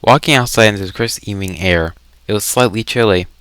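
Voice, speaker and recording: A man reading a story aloud in deliberate storybook phrasing, with casual asides. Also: on the low side, fairly bright tone, clean tone. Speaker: reader of a fan story. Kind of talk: dramatic read-aloud narration with casual asides.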